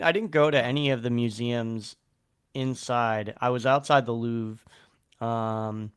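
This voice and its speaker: A man's voice: fairly low pitch, fairly bright tone, clean tone, no echo, clean studio sound. A man hosting a podcast, telling rambling stories in casual chat with lots of fillers. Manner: rambling, filler-heavy storytelling. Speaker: podcast host.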